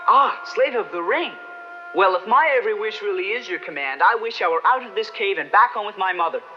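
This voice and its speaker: High-pitched plucky street boy, animated. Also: brisk. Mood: eager. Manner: exclamatory, wide-eyed. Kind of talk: 1970s cartoon dub acting. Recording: dull old TV soundtrack, music behind.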